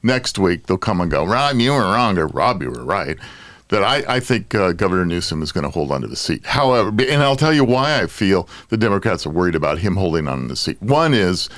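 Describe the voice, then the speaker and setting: A man's voice: low-pitched, sing-song, brisk, a bit bright, no room sound. An American man hosting a casual podcast chat, bantering in an exasperated way. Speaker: podcast host.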